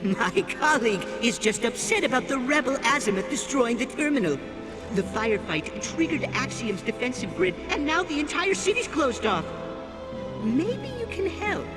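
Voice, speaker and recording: A man's voice: extremely high pitch, very animated, normal speed, music in the background, rough recording. A man voicing a polite, chatty robot worker in a video game, eager and a little prim.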